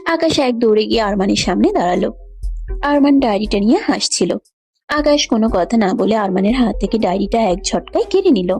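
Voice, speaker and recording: Casting: female storyteller, scripted romance narration, higher-pitched, light music bed. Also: lively intonation, a little fast, darkish tone.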